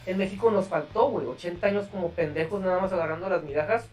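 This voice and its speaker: A man's voice: sits high, quick pace, a little mellow, distant mic, faint music behind. A man with a Mexican accent hosting a podcast in a casual, slangy, profane way. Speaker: podcast host.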